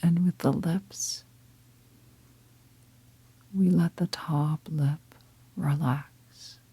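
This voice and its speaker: A woman leading a guided meditation in a calm, gentle, breathy half-whisper, unhurried and with long pauses. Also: low-pitched, dry recording. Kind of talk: guided meditation, slow soft-spoken instruction.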